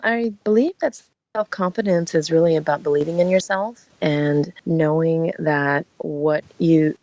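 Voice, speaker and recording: Low, gravelly woman from Vietnam. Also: a little mellow, no room sound. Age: 40s. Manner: earnest, reflective, with fillers. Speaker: guest. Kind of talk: interview answers. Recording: dry, muffled lo-fi sound.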